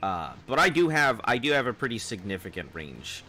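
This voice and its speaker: A man with a baritone voice chatting casually with viewers on a live game stream, in a loose, rambling way. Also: big pitch swings, a little fast, pushed and bright, faint music behind. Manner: rambling, loose, chuckling at chat.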